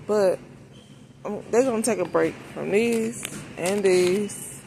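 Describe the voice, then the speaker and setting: Female shopping vlogger, mid-pitched and creaky, folksy off-the-cuff chat, noisy supermarket phone recording. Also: slow, dark tone. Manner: folksy, informal, thinking aloud. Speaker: vlogger narrating a shopping trip. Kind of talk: casual chat to camera. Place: inside a large supermarket, walking. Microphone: handheld phone behind the camera. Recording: noisy store background.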